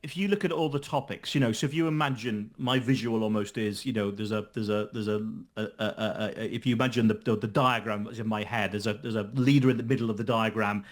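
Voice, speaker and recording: A man being interviewed as a company founder, talking in an animated, emphatic way full of rhetorical questions and restarts. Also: lowish voice, fast, hardly any pauses. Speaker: guest, company founder and CEO. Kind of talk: conversational interview answers.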